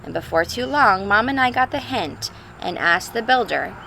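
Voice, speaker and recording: Creaky-voiced woman reading a picture book aloud. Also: mid-range voice, moderate pace, a little hiss or hum, poor audio. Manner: expressive, simple explanations and questions for young children. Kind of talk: storybook read-aloud with chatty asides to children.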